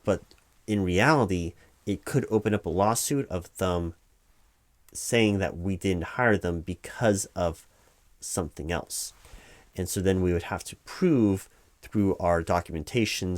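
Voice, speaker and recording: A man playing a distracted, officious corporate hiring manager in a one-sided comedic roleplay, speaking in a hesitant, rambling, loud way. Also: sits low, dry recording. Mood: officious, defensive. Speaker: hiring manager conducting a job interview.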